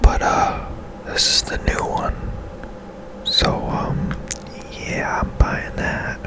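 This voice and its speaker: A man whispering casual ASMR commentary as he plays a video game, musing in a hushed, unhurried way. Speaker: game streamer, ASMR host.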